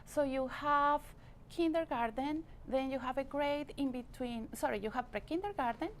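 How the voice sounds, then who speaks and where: A fairly high-pitched woman with a Colombian Spanish accent answers an interviewer's questions. She explains things patiently and fills her pauses with "uh" and "um". There is a faint hiss behind her voice. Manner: patient, explanatory, with frequent fillers. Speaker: guest. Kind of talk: casual interview talk.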